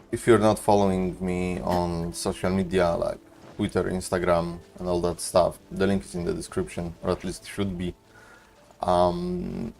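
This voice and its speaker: A man's voice: low-pitched, darkish tone. A young man streaming a video game, chatting casually in a laid-back, joking way. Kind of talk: casual livestream chat and game reactions.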